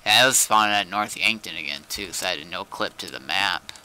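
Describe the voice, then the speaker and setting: A man's voice: low-pitched, brisk, pushed and bright, smooth. A man chatting casually as he streams a game, speaking in short, laid-back, mildly grumbling remarks. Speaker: game streamer and server owner. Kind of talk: casual live gameplay chat.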